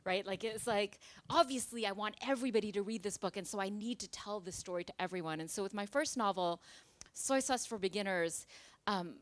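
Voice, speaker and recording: A woman's voice: mid-range voice, brisk, dry recording. Thoughtful woman novelist, dry sound. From Singapore. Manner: thoughtful, with many fillers and restarts. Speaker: novelist guest. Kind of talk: conversational author talk. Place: library event space with live audience.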